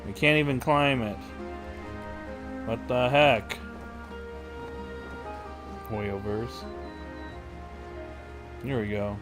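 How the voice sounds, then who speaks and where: A man streams a video game and comments casually. His voice sits at a medium pitch, with grumbles and exclamations, and game music plays clearly behind him. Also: drawn-out words, long pauses.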